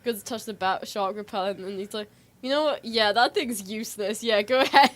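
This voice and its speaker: A woman in casual conversation about films and comics, speaking informally and haltingly with lots of filler words. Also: mid-range voice. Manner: informal, halting delivery peppered with "like".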